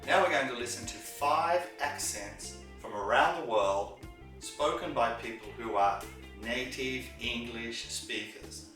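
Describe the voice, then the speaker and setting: A man's voice: mid-range voice, pushed and bright. Man, English lesson, emphatic, repeats for stress, far from mic, faint music. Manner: emphatic, repeats words for stress, slows down on key phrases. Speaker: English teacher. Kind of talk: lesson to camera. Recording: very echoey room, distant mic, with music.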